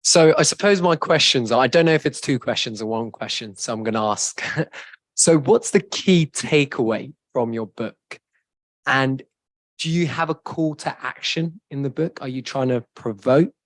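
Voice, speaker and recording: A man in the audience asks the author a direct question in a loud, forthright voice. His pitch is mid-range, and the sound is dry and close. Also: normal speed, no echo. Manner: self-described loud voice, upfront and direct. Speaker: audience member asking a question.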